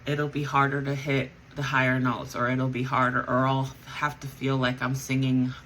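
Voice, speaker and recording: A woman's voice: very deep voice, completely flat delivery, normal speed, fairly bright tone, a little hiss or hum, rough recording. A woman who sings in a band, chatting casually as she gets ready, rambling with lots of fillers and self-corrections. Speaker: vlogger and band singer. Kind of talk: casual chat while getting ready.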